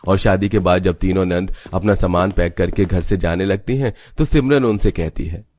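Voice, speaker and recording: A man's voice: low-pitched, moderate pace, darkish tone, no room sound, clean studio sound. A man reading scripted narration for a cartoon story as its storyteller. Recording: music behind the voice.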